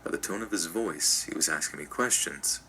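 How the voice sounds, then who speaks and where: A man reads a first-person story with an extremely deep voice. His tone is sharp and bright, and his delivery is even and measured. A faint hiss runs underneath the recording. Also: low-quality recording. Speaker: story narrator.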